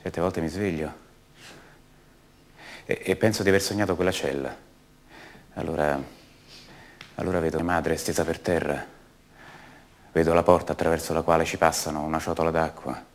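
An actor playing the brooding adult son of a disappeared woman, speaking haltingly and intimately in a radio theatre monologue. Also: extremely low pitch, steady tone. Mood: troubled, anguished. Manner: halting, repeats words, intimate.

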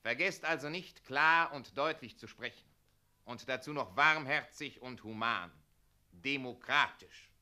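An actor playing a fussy, officious town mayor in a radio play, pedantic, bossy and unctuous. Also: male voice, medium pitch, unhurried, sharp tone. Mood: bossy coaching.

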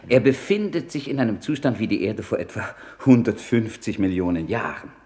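A man playing a precise state secretary who reports in a dry, formal, bureaucratic manner in a 1950s radio drama. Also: on the low side. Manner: formal, matter-of-fact, bureaucratic.

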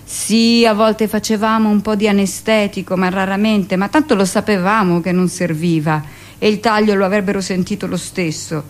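An actress playing a hardened middle-aged hospital midwife, speaking a dramatic monologue in a deliberate, confiding, matter-of-fact way. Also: female voice, medium pitch, darkish tone, lightly acted. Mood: matter-of-fact, defensive. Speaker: actress performing a radio monologue. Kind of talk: dramatic first-person theatrical monologue.

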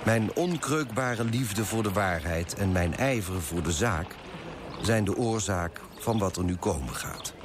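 An actor playing a pompous, self-righteous coffee broker who moralises emphatically in a radio drama monologue. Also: male voice, on the low side, expressive, a little slow, background noise. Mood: self-satisfied, pompous.

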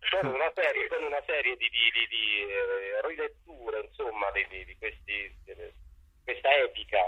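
Male interview guest, dull lo-fi recording, husky voice, halting, repetitive, conversational. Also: mid-range voice, animated. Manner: halting, searching, repeats words. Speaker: theatre artist, interview guest. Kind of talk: casual spoken interview.

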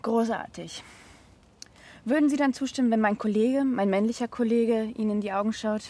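An actress playing an insistent, formally polite traffic police officer, firm and dryly needling, in a naturalistic radio drama. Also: female voice, a little high, fairly even intonation, normal speed, mellow, lightly acted. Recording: noisy roadside scene.

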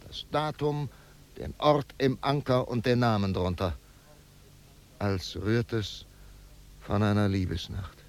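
An actor playing a wistful, brooding older man, halting and poetic, in a 1950s radio drama. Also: lowish voice, fairly even intonation. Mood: musing. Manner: halting, poetic, dropping to whispers. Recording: noisy old radio recording.

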